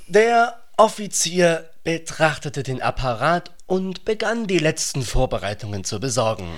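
A man's voice: a little high, very animated, unhurried. A man narrating a literary radio play in a dramatised storytelling style.

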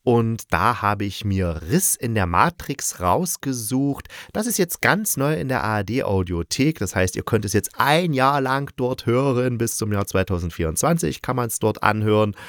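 A man's voice: mid-range voice, no echo, clean studio sound. A middle-aged man giving a casual, unscripted review in a chatty, opinionated way. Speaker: radio play critic and podcast host.

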